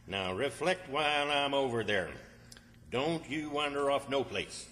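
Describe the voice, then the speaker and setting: Forceful, bossy country man played by an actor. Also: mid-range voice, expressive, a little slow. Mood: scolding. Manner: scolding, commanding, folksy. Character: bossy, exasperated country man, the sensible partner. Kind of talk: old-time radio comedy acting. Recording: hall reverb, distant stage sound.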